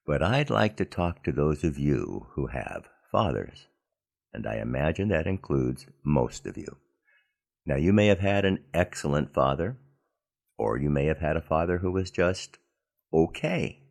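A man hosting a devotional podcast, speaking in a measured, plain-spoken way straight to the listener, with a gravelly voice. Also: very deep voice. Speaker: podcast host giving a devotional. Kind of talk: devotional monologue.

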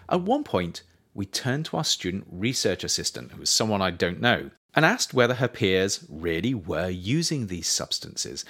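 A man reading his own nonfiction book aloud as scripted narration, with measured, deliberate phrasing. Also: sits low, fairly bright tone.

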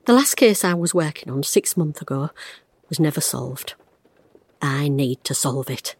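A woman's voice: sits low, expressive, unhurried, exaggerated voice. An actress, playing a wry, blunt retired woman detective in an audio drama, recorded close and dry. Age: 50s. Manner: wry, blunt, self-mocking, fraying under strain.

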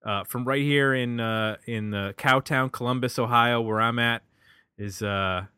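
Bright-voiced American man hosting a podcast. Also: mid-range voice, measured pace, smooth, no echo, clean studio sound. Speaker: podcast host. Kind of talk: casual music podcast talk.

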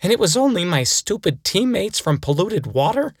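A man voicing an outraged boy ballplayer, shouting high exclamations in a comic story. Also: very animated, moderate pace, husky, no room sound, studio quality, exaggerated voice.

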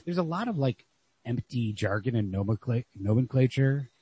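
A man co-hosting a podcast, talking casually and analytically about literature in a wry, husky voice. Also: medium pitch, lively intonation, normal speed, mellow, no room sound. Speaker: podcast co-host. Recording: lo-fi, dry close recording.

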